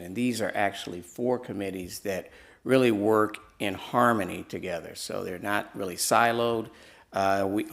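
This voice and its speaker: A soft-spoken man with a Midwestern American accent, giving a presentation at a city council meeting through a podium microphone, with many hesitant fillers. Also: fairly low pitch, creaky. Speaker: downtown nonprofit presenter.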